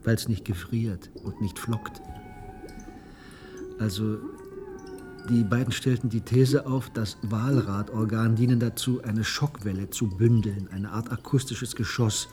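A man's voice: on the low side, wildly swooping pitch, a little slow, mellow, faint music behind. An actor playing an impassioned, cynical male researcher in a radio play, lecturing in an emphatic, sardonic way. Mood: eager explaining. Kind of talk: German radio play acting.

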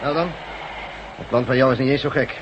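Brisk, commanding camp chief, acted by a man. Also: mid-range voice, lightly acted. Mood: brisk, grim. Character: gruff, domineering male camp chief barking orders. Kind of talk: Dutch radio drama acting. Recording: dull, very noisy old radio recording.

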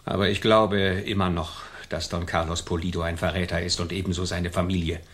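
A man's voice: low-pitched, level tone, a little fast, fairly bright tone, breathy edge. A man acting a haughty, vindictive governor in a radio play, imperious and scornful in formal speech. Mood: angry, threatening. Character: haughty, vindictive colonial governor, quick to rage.

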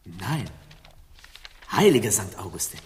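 An actor playing an obsequious, excitable scholar in a theatrical radio play. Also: male voice, fairly low pitch, unhurried. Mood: fawning. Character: fawning, excitable male academic colleague of the rector. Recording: reverberant hall, music behind.

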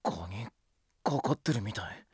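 Animated high school boy, casual, blunt, kind-hearted, wide intonation, anime audio drama. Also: unhurried, background noise. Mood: uneasy, musing. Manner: blunt, informal boyish speech.

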